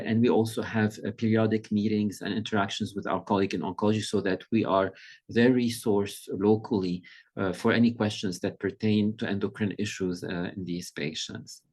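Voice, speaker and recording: A middle-aged man from Lebanon, a pediatric endocrinologist, giving an informal presentation over a video call in a measured, conversational way, often pausing to find his words. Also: on the low side, darkish tone.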